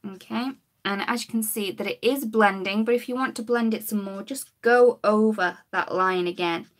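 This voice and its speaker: A woman, her voice a bit croaky, demonstrating coloring with markers in a chatty live-stream lesson. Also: medium pitch, normal speed. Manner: chatty, talks while working, greets viewers. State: slightly croaky voice.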